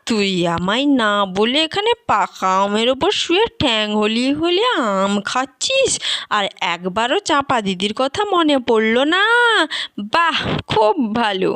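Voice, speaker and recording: Woman as doll auntie, high voice, affectionate, teasing, exclaiming, children's toy-play drama, dry close recording. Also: very animated, unhurried, a bit bright, dry recording. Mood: chiding. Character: chiding, affectionate elder-sister neighbour doll auntie.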